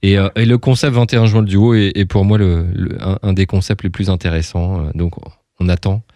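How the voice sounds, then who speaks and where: A man's voice: deep voice, little pitch movement, a little fast, mellow, dry recording. A male radio host chatting casually and enthusiastically, rambling a little with frequent hesitations.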